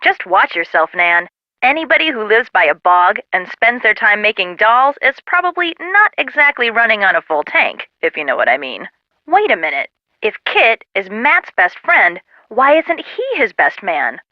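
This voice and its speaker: An actress playing a sassy, excitable young woman, a sarcastic friend in a video game, heard through a telephone filter. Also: mid-range voice, moderate pace, no echo. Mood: wry, curious. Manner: sarcastic, exclaiming. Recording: muffled lo-fi telephone-style sound.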